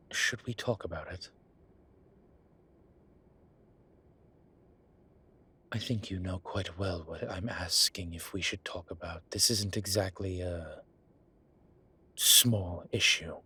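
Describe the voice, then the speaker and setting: A man voicing a flirtatious, smitten demon professor in an intimate audio roleplay, husky and breathy, with halting, wry phrasing. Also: sits low, sharp tone, heavily acted. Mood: hesitant. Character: teasing, smitten male incubus demon professor. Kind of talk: intimate one-sided audio roleplay acting.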